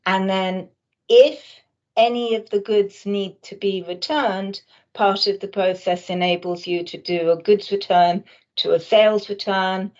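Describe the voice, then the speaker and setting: A woman giving an explanatory webinar presentation as a software consultant, speaking haltingly with frequent hesitations. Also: mid-range voice, fairly even intonation. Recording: dull, muffled lo-fi audio.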